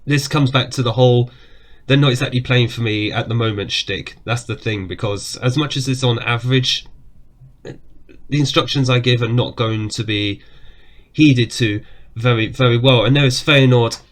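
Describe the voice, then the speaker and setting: A man's voice: medium pitch, moderate pace. Chatty man with a cough. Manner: chatty, reacts aloud to match events. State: has a cough. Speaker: gaming YouTuber. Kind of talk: casual commentary over a management game.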